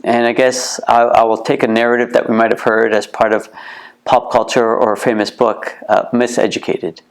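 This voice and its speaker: A man's voice: mid-range voice, flat delivery, normal speed. A middle-aged man with a Canadian accent giving earnest interview answers and restarting sentences by repeating words. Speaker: museum co-founder, interview guest. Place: heritage museum.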